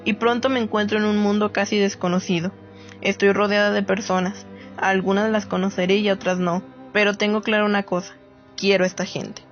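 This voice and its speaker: A woman plays a reflective writer narrating a radio play. Her voice is mid-pitched. It is recorded a bit dully with soft music behind. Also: lightly acted. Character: reflective woman writer gathering war memories. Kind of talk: scripted dramatic narration. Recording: muffled lo-fi audio under music.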